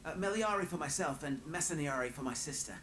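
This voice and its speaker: An earnest young craftsman in a fantasy game, polite and deferential. His voice sits fairly high and moves animatedly. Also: expressive, quick pace, a bit bright, slight background noise. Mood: nervous, eager. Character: eager, deferential young male artisan twin. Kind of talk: dramatic video game dialogue.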